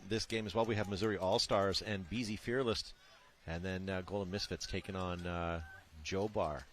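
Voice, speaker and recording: A man's voice: sits low, fairly even intonation, moderate pace. A man commenting live on a paintball match from a booth, chatty and joking with his co-host.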